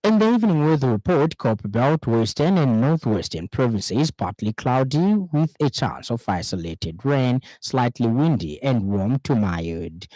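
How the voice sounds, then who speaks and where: A man's voice: medium pitch, very animated, measured pace, hardly any pauses, warm tone, no echo, poor audio. A man with a Zambian accent reading a scripted radio news bulletin in a studio.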